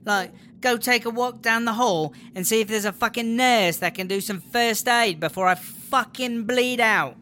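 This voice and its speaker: A woman's voice: on the high side, normal speed, hardly any pauses, sharp tone, slight background noise. A woman playing an injured, foul-mouthed British prison inmate in an audio drama, groaning in pain and exasperated. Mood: furious, pained. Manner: sweary, exasperated, sarcastic.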